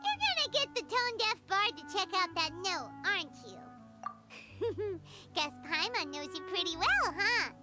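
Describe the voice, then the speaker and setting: A woman voicing a tiny, excitable fairy girl companion in a video game, chattering eagerly. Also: extremely high pitch, a little slow, full character voice. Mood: excited. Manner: excitable, refers to herself by name. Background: game music.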